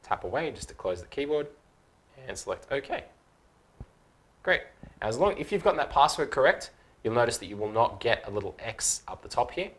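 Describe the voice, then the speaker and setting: A man in his thirties with a mid-pitched voice presents a tutorial. He explains each step informally, like a casual instructor, and checks in with the listener as he goes. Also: big pitch swings, normal speed, long pauses, a bit bright.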